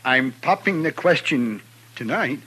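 An actor playing a peculiar, kindly, lovestruck townsman in a 1950s radio western, earnest and repeating himself in a husky voice. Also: mid-range voice, animated, a little slow, sharp tone, slight background noise. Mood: nervously excited. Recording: old radio broadcast.

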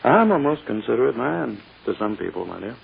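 An actor playing a smug, courting prosecutor with an unctuous, self-important, drawn-out manner in 1940s radio style. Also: male voice, mid-range voice, big pitch swings, creaky. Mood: smug, insinuating. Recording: muffled, hissy old radio recording.

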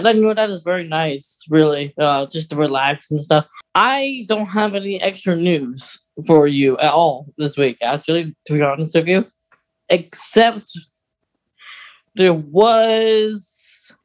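A podcast co-host chatting casually in a hesitant, rambling way. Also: medium pitch. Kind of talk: casual chat.

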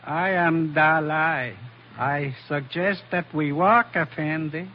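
Villain: highish voice, icily formal foreign henchman, deliberate threats, muffled vintage radio sound. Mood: politely menacing. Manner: formal, deliberate, menacing politeness. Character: menacing, coldly polite foreign male henchman with a gun. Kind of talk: 1940s radio adventure acting. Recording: dull, noisy old radio recording.

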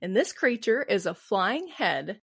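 A woman's voice: medium pitch, sing-song, unhurried, gravelly, husky, dry recording, studio quality. A woman with a Midwestern American accent, co-hosting a podcast with casual, comedic storytelling in a giggly, playful manner. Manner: giggly, playful, acts out quotes. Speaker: podcast co-host.

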